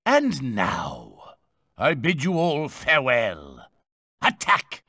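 An actor playing a scheming, gloating sorcerer vizier in a video game, theatrical, haughty and menacing. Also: male voice, medium pitch, huge pitch swings, takes it slowly, pushed and bright, slightly rough, big-room sound, full character voice. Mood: commanding, gloating. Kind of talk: animated video game acting.

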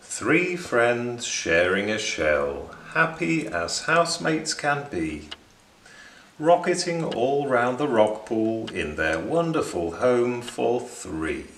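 Echoey man reading storybook, lively pitch. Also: a little hiss or hum. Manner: measured, dramatic delivery for young listeners. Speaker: children's storybook reader. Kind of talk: expressive read-aloud narration of a rhyming picture book. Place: small indoor room beside bookshelves. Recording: roomy indoor echo.

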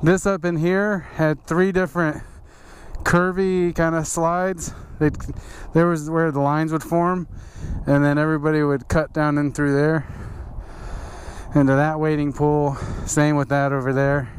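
A man's voice: a little high, little pitch movement, a little slow. A middle-aged man casually narrating a tour while riding a dirt bike outdoors, rambling with fillers and asides, recorded on a helmet camera. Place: outdoors at an abandoned desert waterpark. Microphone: helmet-mounted action camera. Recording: loud engine noise over the voice. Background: loud motorcycle engine and wind.

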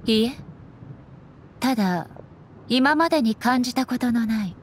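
A woman playing a stern, solemn immortal warrior goddess in a game, speaking formally and with measured deliberation. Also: on the high side, expressive, a little hiss or hum. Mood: resolute. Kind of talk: dramatic game voice acting. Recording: background music under the voice.

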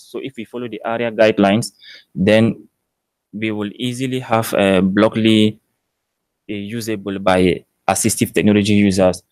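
A young man with a Cameroonian accent giving a technical conference presentation in a measured, explanatory way. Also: fairly low pitch, a little mellow, no room sound. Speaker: PhD student presenting research. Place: indoor presentation room.

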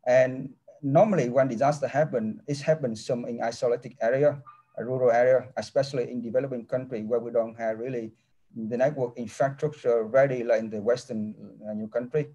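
Halting, mellow-voiced professor on a video call. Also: male voice, medium pitch, fairly even intonation, highs cut off. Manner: halting non-native English with many restarts. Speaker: engineering professor. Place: office with large window. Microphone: laptop webcam mic on a video call.

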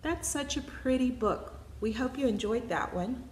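A middle-aged woman with a slightly husky voice, reading picture books aloud expressively for young children as a library storytime reader. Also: on the high side, lively intonation, moderate pace, darkish tone, low-quality recording.

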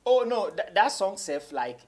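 A man's voice: high-pitched, very animated, measured pace, darkish tone. A Ghanaian man in his thirties chatting casually as an interview guest, mixing English with Twi. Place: small office studio, indoors.

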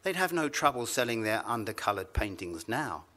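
A man reading his own literary story aloud to a live festival audience, measured and wry. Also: lowish voice, very animated, pushed and bright.

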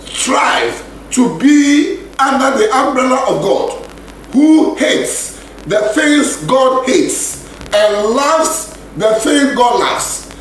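Ghanaian male radio preacher; high voice, unhurried and intoning, booming echo. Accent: Ghanaian English. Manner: repeats and intones scripture lines slowly. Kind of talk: radio sermon. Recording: echoey radio broadcast with faint hiss.

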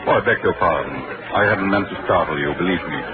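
An actor playing a polite, formal Austrian ship's doctor with an Austrian accent, in 1940s radio acting. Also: male voice, deep voice, fast, lightly acted. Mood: courteous. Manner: polite, formal, gently joking. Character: courteous Austrian ship's doctor, middle-aged. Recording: noisy, tinny old radio broadcast.

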